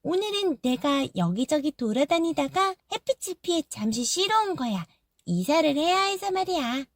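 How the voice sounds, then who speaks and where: A woman voicing a cheerful, chatty little moss with a bright, polite way of talking. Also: high-pitched, very animated, normal speed, dry recording, studio quality, pitched above the performer's own voice.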